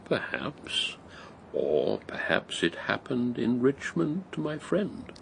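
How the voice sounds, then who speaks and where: An actor playing an elderly writer who narrates an old memory in a reflective, literary, gravelly voice. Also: male voice, medium pitch, huge pitch swings, slow, a little hiss or hum, full character voice. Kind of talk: radio drama narration.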